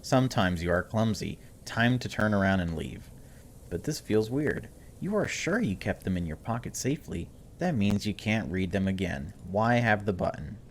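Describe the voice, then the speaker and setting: A man with a low voice plays through a game for viewers. He reads the text aloud and comments on it in an informal, chatty way, dropping wry remarks as he goes. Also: a little hiss or hum. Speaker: video game streamer. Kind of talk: casual let's-play commentary mixed with reading game text aloud.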